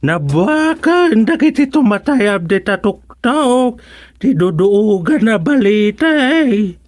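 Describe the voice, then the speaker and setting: An actor playing a grave village elder in an Ilocano radio comedy drama, with an Ilocano accent and deliberate, drawn-out phrasing. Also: medium pitch, sing-song, darkish tone. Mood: explaining.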